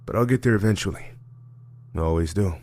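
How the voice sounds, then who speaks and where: Male investigator played by a man for audio fiction: deep voice, weary, sure of himself, laconic. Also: brisk, darkish tone. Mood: weary, confident. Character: Cole, weary, self-assured male investigator.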